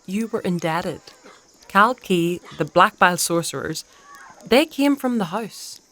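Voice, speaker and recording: A woman playing a composed fantasy priestess and mentor in a dark audio drama, measured and articulate, with playfully dry wit. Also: on the low side, animated. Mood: probing.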